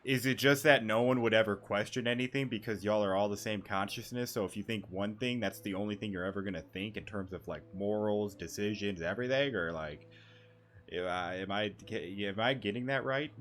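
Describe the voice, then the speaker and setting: A man's voice: lowish voice, fairly quick, a bit bright, a little hiss or hum. A man giving casual, musing commentary as he plays through a game, informal and prone to swearing. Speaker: gaming streamer.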